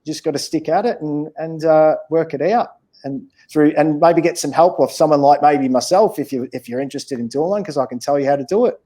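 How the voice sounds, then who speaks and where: A man's voice: on the high side, brisk, mellow. A man with an Australian accent answering questions in a casual, encouraging, plain-spoken way as a children's author. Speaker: children's author, interview guest.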